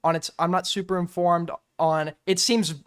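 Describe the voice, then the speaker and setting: A male streamer host with a high, smooth, resonant voice, recorded dry and close. He argues insistently, stacks repeated words and talks over the other speaker. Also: fairly even intonation, normal speed, a bit bright, dry recording. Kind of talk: heated casual debate.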